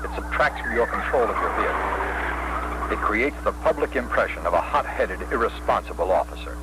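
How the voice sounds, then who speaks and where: A man delivers stern, authoritative, measured scripted narration for a retro police training film. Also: lowish voice, lively intonation. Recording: noisy old film soundtrack.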